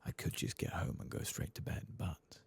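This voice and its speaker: A man softly commenting on his gameplay in half-whispered, mumbling asides, his voice lower than usual after singing, close to the microphone. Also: moderate pace. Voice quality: very deep, gravelly and husky. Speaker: ASMR gaming channel host. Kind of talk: soft-spoken gameplay commentary.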